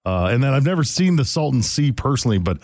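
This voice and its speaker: A man's medium-pitched voice, recorded close and dry. He hosts a radio interview, talking informally and with plenty of slang. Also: very animated, fast talker, darkish tone, dry recording. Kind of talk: casual radio interview chat.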